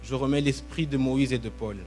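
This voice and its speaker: A young man from Belgium preaches in church at a medium pitch. He speaks in short, emphatic phrases and pauses between them for interpretation. An instrument plays faintly behind him. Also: steady tone, darkish tone, soft background music. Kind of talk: live sermon with pauses for interpretation. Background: musical instrument playing.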